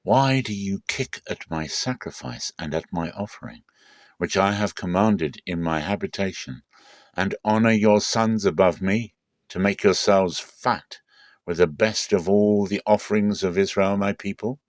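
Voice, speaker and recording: A man voicing a stern prophet delivering divine judgment in a dramatic scripture reading, solemn, forceful and declamatory. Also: deep voice, unhurried, dry recording. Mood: stern rebuke.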